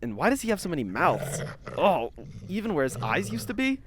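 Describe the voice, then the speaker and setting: A woman playing a gawking, easily distracted eyewitness in an audio drama, halting and incredulous. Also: fairly low pitch, very animated, crowd noise. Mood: baffled, deadpan. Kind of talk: scripted audio drama acting. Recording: clear background noise and ambience.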